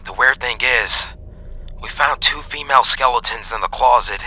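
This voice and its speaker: A man's voice: mid-range voice, bright, forceful tone, pitched above the performer's own voice, rough recording. A man playing an authoritative, matter-of-fact police officer on a call in a horror story. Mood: confused, grave. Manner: authoritative, brisk.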